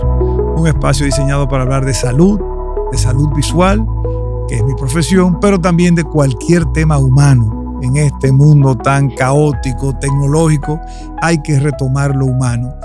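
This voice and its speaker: A man's voice: medium pitch, moderate pace, music in the background. A man with a Dominican Spanish accent hosting a conversational podcast interview. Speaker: podcast host and ophthalmologist.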